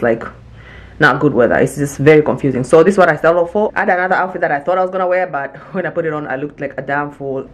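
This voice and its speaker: A woman in her thirties from Tanzania, chatting casually and informally to camera as a vlogger. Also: low voice. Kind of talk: casual vlog chat to camera. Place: home interior, open living room and kitchen. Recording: roomy echo, music underneath.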